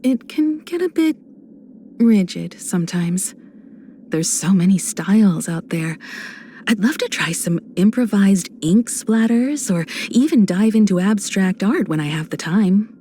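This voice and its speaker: An actress voicing a composed adult woman space traveler in a video game, a warm mentor who speaks in a measured, reflective, gently teasing way. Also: mid-range voice, expressive, a little hiss or hum, lightly acted. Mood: lightly playful. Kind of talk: video game character voice lines.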